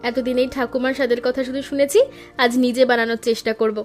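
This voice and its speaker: A woman's voice: a little high, level tone, fast, soft background music, lightly acted. Woman voicing a polite elder daughter-in-law. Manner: polite, eager, respectful. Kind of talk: cartoon voice acting.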